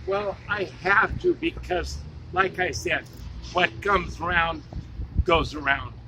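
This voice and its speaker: Man, fairly high voice, calm, hesitant, fussy about grammar, street noise behind. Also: takes it slowly. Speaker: passerby being questioned.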